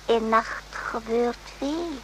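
A woman playing a soft-spoken, cryptic servant in a radio play, speaking in halting, broken sentences. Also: on the high side. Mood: quiet, mysterious. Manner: halting, broken grammar in short phrases. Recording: noisy old radio play recording.

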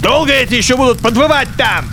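An actress in a radio play playing an impatient, irritated, commanding onlooker. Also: female voice, high-pitched, animated, fast talker, music in the background. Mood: impatient irritation.

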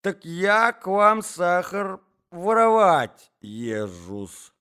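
A man voicing a squealing, indignant middle-aged provincial gentlewoman. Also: sits high, expressive, drawn-out words, clean tone, pitched above the performer's own voice, exaggerated voice. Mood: shrill outrage.

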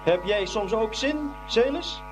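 An actor playing a stern, angry schoolteacher in a radio play, curt and commanding. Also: male voice, high-pitched, animated, takes it slowly, noisy background. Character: stern, angry male schoolteacher breaking up a fight.

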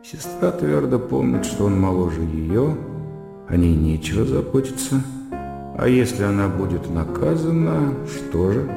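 An actor playing an educated young gentleman in a radio drama, thinking aloud in a reflective, bookish, self-questioning way. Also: fairly low pitch, very animated, unhurried, gravelly, heavily acted. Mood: scornful. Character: educated, self-justifying young gentleman brooding in inner monologue. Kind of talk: radio drama acting, interior monologue. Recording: reverberant hall echo, music underneath.

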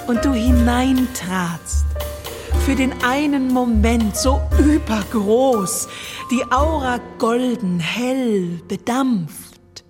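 A woman reciting poetry dramatically, with expressive, deliberate phrasing. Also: fairly high pitch. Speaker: poetry reader. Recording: dry studio recording over music. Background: music.

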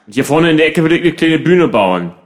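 A man's voice: fairly high pitch, sing-song, fairly quick. A man playing a laid-back, practical bar owner in casual radio-play dialogue. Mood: matter-of-fact.

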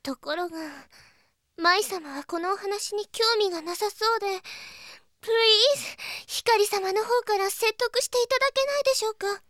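A woman's voice: extremely high pitch, normal speed, a bit bright, dry recording. Casting: deferential anime girl attendant, composed and formal, courteous phrasing, dry studio sound. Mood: pleading politely. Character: polite, deferential young female attendant of a haughty princess. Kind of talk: anime audio drama acting.

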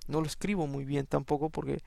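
A man hosting an informal Bible podcast, talking in a halting, conversational way with many restarts and then reading scripture aloud. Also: on the high side, steady tone, creaky, darkish tone, no echo. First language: Spanish.

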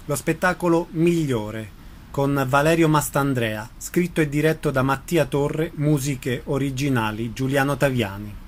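A man's voice: medium pitch, a little slow. A man presenting on radio, reading out credits in a scripted announcing style.

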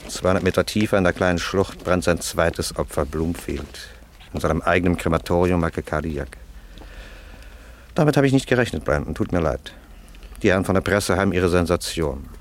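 An actor playing a shrewd, commanding investigator in a crime radio play, clipped, sardonic and authoritative. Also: male voice, sits low, brisk, natural voice.

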